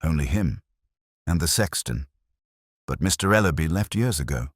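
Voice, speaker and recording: An earnest, anxious niece in a mystery audiobook, voiced by a deep-toned man. The delivery is urgent and lively in pitch, recorded dry and close. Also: normal speed, breathy edge, dry recording, full character voice. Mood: subdued. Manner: urgent, trembling. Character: earnest, anxious young niece of a dead vicar.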